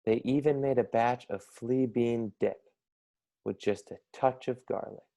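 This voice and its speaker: Casting: young male storybook narrator, dark mellow tone with noticeable vocal fry, measured pace. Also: mid-range voice. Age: young adult. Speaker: storybook reader, college student. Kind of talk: scripted children's story narration.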